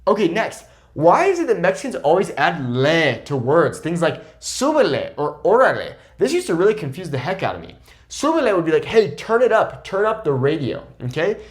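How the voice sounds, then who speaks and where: A young man teaching Spanish in a casual video lesson, explaining points in a lively way and often checking in with "okay?". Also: fairly high pitch, normal speed.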